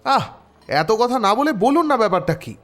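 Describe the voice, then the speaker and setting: A brusque Bengali sidekick in an audio story, with a very high, animated voice. He is impatient and teases mockingly as he demands plain answers. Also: normal speed. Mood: impatient, teasing. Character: impatient, teasing male friend of the detective.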